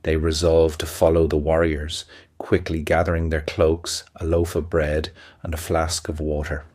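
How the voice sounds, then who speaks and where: A man narrating a fantasy audio play as a storyteller, with a measured, even delivery. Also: a bass voice, dry recording, clean studio sound. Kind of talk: scripted fairy-tale narration.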